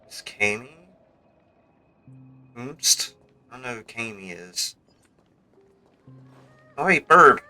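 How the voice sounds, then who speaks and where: A male game streamer with a bright, forward voice of average pitch. He gives casual, joking commentary while faint game music plays behind him. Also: animated, slow, pauses often. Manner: joking, reads dialogue aloud.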